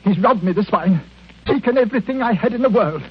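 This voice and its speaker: A man's voice: high-pitched, animated, fairly quick, breathy edge, heavily acted. An actor playing a miserly, self-pitying old man, whining and blustering in 1940s radio drama style. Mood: aggrieved, wailing. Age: older. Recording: dull, noisy 1940s radio recording.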